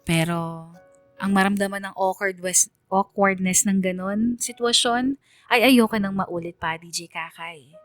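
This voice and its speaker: Radio drama narrator: reflective young woman, read by an actress, mid-pitched, earnest, confessional, faint music underneath. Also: fairly even intonation, unhurried, dry recording, close to an everyday voice. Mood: content, resolute. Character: reflective, loyal young woman telling her friendship story.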